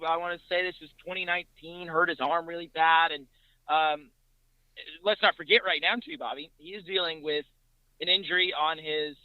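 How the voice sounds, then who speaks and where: A man's voice: on the high side, clean tone, no echo, muffled recording. A man talking casually as a podcast guest, recounting racing details in a conversational way.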